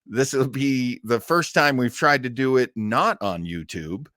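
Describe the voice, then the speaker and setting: An American man hosting a casual live stream, talking in a rambling, halting way with chuckling asides. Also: medium pitch, expressive, fairly bright tone, no room sound, clean studio sound. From Maine, United States. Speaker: host of an online old-time radio listening show.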